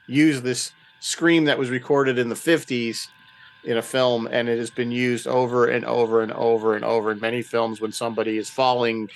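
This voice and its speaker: A man with an average-pitched voice talks casually as a guest podcaster. His delivery is loose and offhand. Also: flat delivery, normal speed, slight background noise. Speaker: podcast creator and host, guest. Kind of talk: casual chat.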